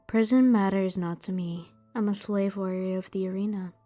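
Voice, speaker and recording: Woman playing a proud, mellow-voiced warrior woman. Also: medium pitch, fairly even intonation, normal speed, soft background music, close to an everyday voice. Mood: stern. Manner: solemn, formal, blunt. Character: proud, stern young female slave warrior, dutiful. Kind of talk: dramatized fan comic dub.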